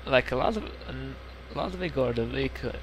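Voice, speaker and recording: A man's voice: mid-range voice, normal speed, low-quality recording. Casual Slovak-accented man chatting over gameplay. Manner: chatty, rambling, with laughs and mild swearing. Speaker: gaming YouTuber. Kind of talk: casual let's-play commentary. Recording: game sounds and engine noise behind.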